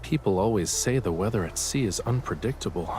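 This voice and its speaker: A man acting the part of a devoted, gentle young painter in a romantic audio drama, speaking tenderly and earnestly. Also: lowish voice, lively intonation, fairly quick, a little hiss or hum, natural voice. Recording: loud music bed under the voice. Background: loud music.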